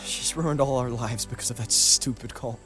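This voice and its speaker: A man's voice: mid-range voice, moderate pace, sharp tone, faint music behind, natural voice. An actor playing an angry, desperate young man in a dramatic horror video game cutscene. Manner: foul-mouthed, emotional, confrontational.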